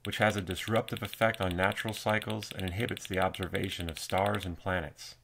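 A man's voice: low-pitched, flat delivery, a little fast, vocal fry, background noise. A man with an American accent reading scripted documentary narration. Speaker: narrator and nature photographer. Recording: music behind. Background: music.

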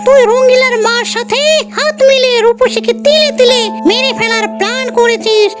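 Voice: very high-pitched, steady tone, normal speed, fairly bright tone. A performer voicing a vengeful, protective female ghost who scolds, in a cartoon fairy tale with music behind. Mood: menacing, scolding.

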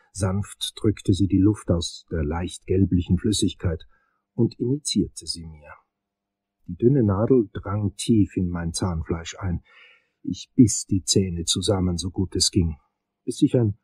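A man playing an investigator, narrating a crime radio play in measured, wry first-person storytelling. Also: low-pitched, darkish tone, slightly rough, no room sound, heavily acted, rough recording.

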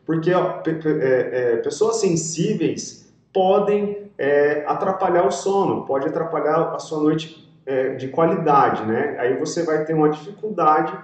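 A man's voice: a little high, animated, measured pace. A young man from Brazil, a sports nutritionist, explaining steadily to camera in a small room and checking in with his viewers as he goes. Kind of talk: educational video talk to camera. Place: small indoor room with pink lighting. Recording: very echoey room, distant mic.

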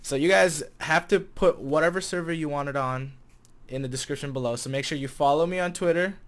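A man's voice: a little high, fairly quick. Easygoing young American man chatting. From Chicago area, United States. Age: 20 years old. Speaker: vlogger and game server staff manager. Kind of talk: casual vlog chat to camera. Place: small room at home, indoors. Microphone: desk condenser mic with pop filter.

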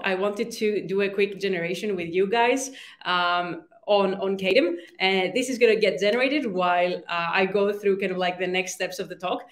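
A young woman from Greece, a startup founder giving a conference talk, hesitant, with many ums and restarts. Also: mid-range voice. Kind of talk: conference presentation. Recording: roomy reverb.

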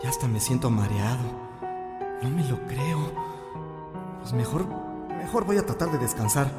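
A man's voice: mid-range voice, animated, moderate pace, over loud music, natural voice. An actor playing a bewildered, grieving widowed father in an audio drama, with a Mexican accent and a plaintive, hesitant delivery.

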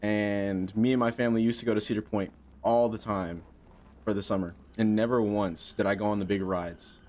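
A man's voice: fairly low pitch, fairly even intonation, moderate pace, darkish tone. Jittery young man playing a horror game. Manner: jumpy, talks to himself, joking nervously. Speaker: gaming streamer. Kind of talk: casual horror game commentary. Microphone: headset.

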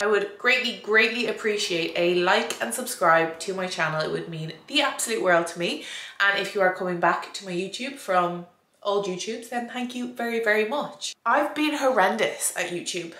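Young woman vlogging w a blocked nose, bright voice, echoey little room. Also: mid-range voice. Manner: chatty, repeats words for emphasis. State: congested, nasal from a cold. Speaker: vlogger and online fitness coach. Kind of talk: casual vlog chat to camera. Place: small indoor room with a door behind.

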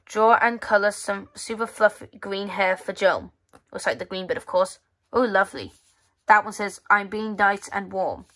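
Woman casually reading aloud, halting delivery. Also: medium pitch, steady tone, a bit bright. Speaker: magazine reviewer. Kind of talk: casual read-aloud walkthrough of a children's magazine. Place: indoors at a tabletop.

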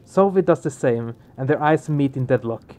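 A man's voice: mid-range voice, animated, normal speed, a little mellow. A man hosting a game let's play, expressively reading the story aloud and reacting to it.

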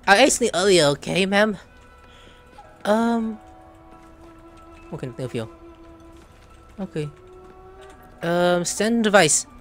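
Man, gaming streamer, lively intonation, playful reactions, casual commentary, faint game music. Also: high-pitched, slow, pauses often. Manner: playful, reacts aloud, reads dialogue in character. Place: indoor room, game audio behind.

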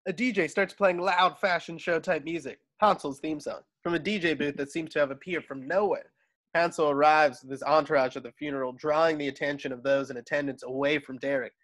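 A man's voice: on the high side, steady tone, brisk, fairly bright tone, low-quality recording. A man narrating screenplay stage directions expressively in a table read over a video call. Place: indoors at home on a video call. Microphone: video call microphone.

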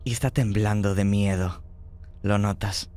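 A man narrating a horror tabletop game as game master, slow and hushed, voicing small sound effects. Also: lowish voice, fairly even intonation, clean tone.

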